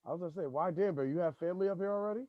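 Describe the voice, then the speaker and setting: A man's voice: sits high, rapid-fire, lo-fi sound, a crowd in the background. A man hosting a podcast in loose, profane casual chat, talking in restarts. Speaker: podcast host.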